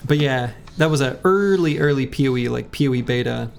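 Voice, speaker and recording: A man streaming a game, chatting casually with viewers in a rambling way, his voice a little hoarse. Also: mid-range voice, brisk. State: slightly hoarse. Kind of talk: casual live-stream chat while playing. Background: faint game music.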